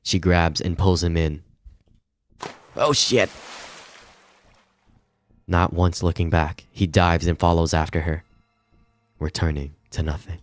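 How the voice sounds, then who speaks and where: A man's voice: low-pitched, steady tone, slow pace, long pauses. A man streaming a game, reading its narration aloud with informal asides, some swearing and stumbles over long words.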